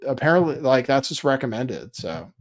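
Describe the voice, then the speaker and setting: A man's voice: medium pitch, sing-song, normal speed. A man hosting a livestream, commenting casually in an offhand, dry way with rambling asides. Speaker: livestream host.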